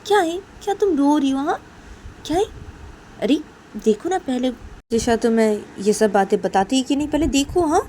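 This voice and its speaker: A woman's voice: high voice, animated, normal speed, warm tone, a little hiss or hum, voice pushed up. A woman voicing an exasperated mother in a story, nagging insistently and repeating herself. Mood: scolding, urging. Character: nagging, exasperated, scolding mother of young women.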